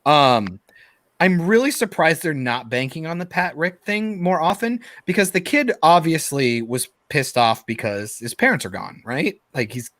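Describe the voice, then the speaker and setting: A man's voice: a little high, animated, moderate pace, fairly bright tone, dry recording. A man chatting casually as a panelist on a review show, blunt, colloquial and teasing. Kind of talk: casual chat.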